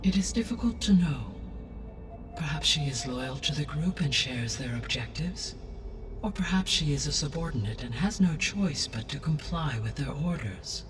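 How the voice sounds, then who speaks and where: Distant, composed AI. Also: female voice, low voice, animated. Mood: calm, analytical. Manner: measured, formal, precise. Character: composed female artificial intelligence, learned and helpful. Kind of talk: video game dialogue. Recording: distant sound, clear game music behind. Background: music.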